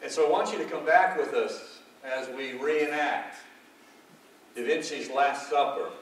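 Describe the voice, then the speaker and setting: A man narrating a church drama in a deliberate, solemn scripture-reading style. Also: a little high, slight background noise. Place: large reverberant indoor hall before an audience. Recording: very echoey hall, distant mic.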